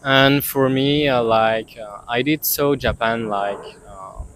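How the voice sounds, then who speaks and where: Hesitant man, halting English. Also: medium pitch, steady tone, fairly bright tone, slight background noise. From Reunion Island, France. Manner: hesitant, many uh fillers. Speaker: tourist interviewee. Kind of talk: casual street interview.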